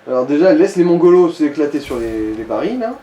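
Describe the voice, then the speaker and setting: Mellow Frenchman coaching in an echoey room. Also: a little high, expressive, brisk. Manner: explanatory, coarse slang. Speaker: gaming co-commentator guiding the player. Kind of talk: casual let's play commentary. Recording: roomy echo, background noise.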